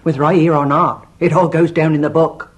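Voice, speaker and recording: An actress playing a stern, pious, prudish old widow who keeps an inn, with a curt, disapproving manner. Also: female voice, sits low, little pitch movement, fairly quick, lightly acted. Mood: stern, forbidding. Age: older. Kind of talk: stage farce radio acting.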